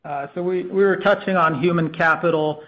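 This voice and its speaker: A man's voice: on the high side, steady tone, moderate pace, fairly bright tone, muffled recording. A man presenting business plans as a technology executive on an earnings call, with frequent uhs between phrases.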